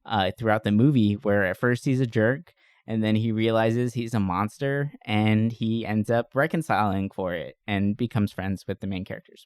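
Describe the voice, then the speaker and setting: A man's voice: fairly low pitch, clear, resonant tone, no echo, studio quality. A man co-hosting a podcast in an enthusiastic, informal chat, swearing casually. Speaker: podcast co-host. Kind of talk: casual chat about movies.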